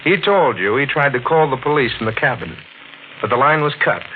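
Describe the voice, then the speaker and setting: An actor playing a smooth, sinister killer who taunts his victim with mock politeness, in 1940s radio mystery style. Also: medium pitch, expressive, close to an everyday voice. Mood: insistent, menacing. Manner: mock-polite, menacing, deliberate. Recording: noisy, narrow-band 1940s radio recording.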